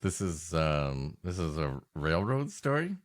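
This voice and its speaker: A man's voice: a bass voice, big pitch swings, a little slow. A man from the United States co-hosting a podcast, improvising comic banter in a dry, sardonic way. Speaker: podcast co-host.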